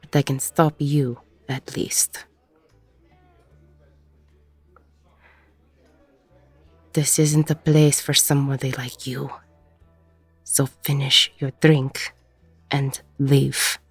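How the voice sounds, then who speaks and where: A woman playing a weary, protective middle-aged ex-con bar owner in an intimate audio roleplay, with a husky, gravelly voice. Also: rumbling low, flat delivery, slow, no room sound, faint music behind, exaggerated voice. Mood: stern, protective.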